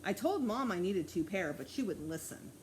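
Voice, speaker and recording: A woman's voice: medium pitch, expressive, moderate pace, a little hiss or hum, close to an everyday voice. A woman voicing a small, stubborn boy who questions and insists, in a children's storybook reading. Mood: insistent.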